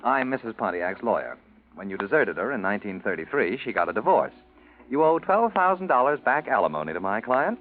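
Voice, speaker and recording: In tinny old radio sound, a male actor plays a smooth-talking male lawyer out to collect. His pitch jumps about as he wheedles and apologizes insincerely, in 1940s radio acting style. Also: sing-song, brisk, close to an everyday voice. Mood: pointed. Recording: band-limited old 1940s radio recording.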